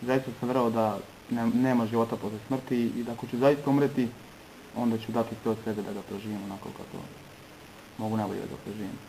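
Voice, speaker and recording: A man from Serbia giving an earnest personal testimony in short phrases, pausing between them for an interpreter. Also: mid-range voice, fairly even intonation, dark tone, slight background noise, poor audio.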